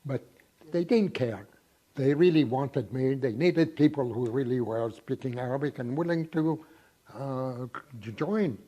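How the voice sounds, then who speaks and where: Husky-voiced man in his nineties. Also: mid-range voice, warm tone, muffled recording. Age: 90s. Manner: halting, reminiscing. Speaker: interviewee. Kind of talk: oral history interview.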